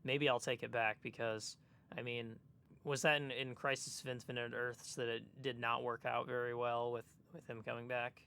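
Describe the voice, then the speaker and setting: A man co-hosting a podcast in casual chat, with a hesitant, halting way of talking. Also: mid-range voice, level tone, vocal fry, pushed and bright, smooth. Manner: hesitant, halting, repeats word starts. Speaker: podcast co-host.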